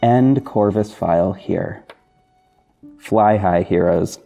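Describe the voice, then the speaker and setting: A man's voice: deep voice, slow pace, vocal fry, dark tone. A man chatting casually to his listeners as a podcast host, with an effusive, gushing, informal manner. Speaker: podcast host and audio drama creator. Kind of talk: casual solo chat to listeners over background music. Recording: music bed behind the voice. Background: music.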